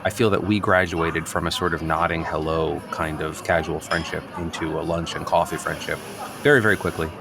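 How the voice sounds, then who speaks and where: A man playing an earnest, neurotic, self-absorbed friend in an improvised radio comedy, halting and over-explaining. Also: deep voice. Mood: blunt, apologetic. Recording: clearly noisy background ambience.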